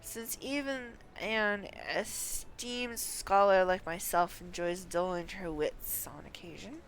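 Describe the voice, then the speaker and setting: A woman reading game quest dialogue as a curious, self-important female scholar with a formal, deliberate, sometimes halting delivery. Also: medium pitch. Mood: measured, curious. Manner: formal, deliberate, halting over odd words. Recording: clearly noisy background.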